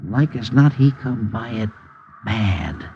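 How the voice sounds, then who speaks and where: A henpecked, greedy American husband in a 1950s radio drama. His voice is rough and husky, jumping around nervously as he hesitates in folksy speech. The sound is dull, like an old broadcast. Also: on the low side, animated, slow, warm tone, full character voice. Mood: furtive, shaken. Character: timid, greedy, henpecked working-class husband. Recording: muffled old radio recording.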